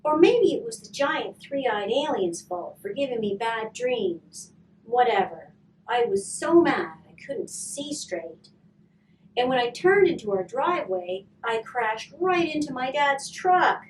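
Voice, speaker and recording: A woman reading a children's storybook aloud with expressive, emphatic narration and dramatic pauses. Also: fairly high pitch. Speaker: volunteer storybook reader. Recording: roomy, distant mic, faint noise.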